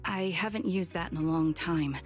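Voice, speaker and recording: A woman playing a calm, reserved blind soldier in a video game, soft-spoken, formal and measured. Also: lowish voice, faint music behind, natural voice. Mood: calm, polite.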